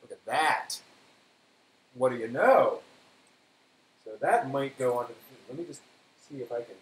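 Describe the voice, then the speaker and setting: Male live stream host, offhand and conversational, medium pitch, recorded in an echoey room. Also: animated, moderate pace. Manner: offhand, thinking aloud. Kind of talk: casual live stream chat. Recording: roomy echo, faint hiss.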